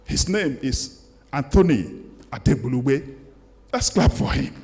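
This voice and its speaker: An older man with a Nigerian accent gives a formal public lecture, speaking deliberately and emphatically as he reads and reflects. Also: mid-range voice, expressive, vocal fry, a little mellow. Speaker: guest lecturer. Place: large lecture hall, indoors. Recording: echoey hall with long reverb.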